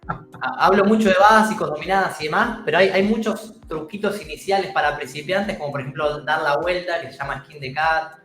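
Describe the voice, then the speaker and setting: Argentine male guest, upbeat and encouraging, slightly high voice, roomy call audio. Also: brisk, a bit bright, soft background music. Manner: enthusiastic, encouraging. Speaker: calisthenics instructor guest. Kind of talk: casual interview chat. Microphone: video call.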